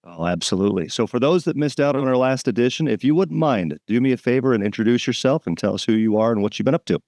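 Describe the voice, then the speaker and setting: A man's voice: medium pitch, expressive, fast, a little mellow, no room sound, studio quality. A man hosting a dental podcast, upbeat and friendly in his introductions and questions. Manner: upbeat, friendly, self-deprecating. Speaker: podcast host.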